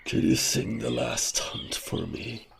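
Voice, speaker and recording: A man's voice: medium pitch, slow pace, vocal fry, breathy edge, full character voice. A man voicing a dying male bird in a fantasy audio drama, weak, fading and fond. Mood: weak, pleading.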